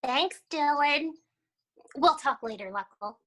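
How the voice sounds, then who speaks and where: Woman as a high, childlike cartoon bird. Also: lively intonation, takes it slowly, fairly bright tone, heavily acted, poor audio. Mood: earnest.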